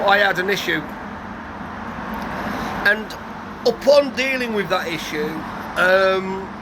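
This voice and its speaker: A man, croaky from a cold, telling a rambling, guarded story to his vlog audience, full of restarts. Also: high-pitched. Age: 50s. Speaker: vlogger. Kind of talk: casual story to camera. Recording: outdoor traffic noise behind.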